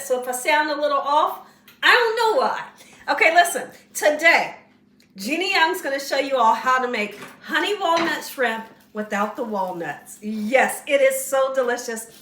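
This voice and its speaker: A woman hosting a casual home cooking tutorial, slightly hoarse as she loses her voice, chatty and encouraging toward viewers. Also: high-pitched, moderate pace, fairly bright tone, echoey room, distant mic. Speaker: cooking show host. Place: home kitchen.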